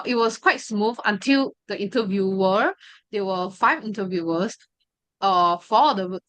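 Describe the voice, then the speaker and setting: A woman's voice: mid-range voice, dry recording. Hesitant young woman, dry sound. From Malaysia. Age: 20s. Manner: hesitant, full of fillers and restarts. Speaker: study group member sharing her story. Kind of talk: personal testimony.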